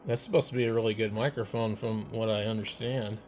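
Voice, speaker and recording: A man's voice: on the low side, a little fast. A man talking casually and a bit hesitantly as an amateur radio operator on a ham radio setup. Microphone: radio microphones, switched between hand mics. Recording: noisy amateur radio setup, steady background noise.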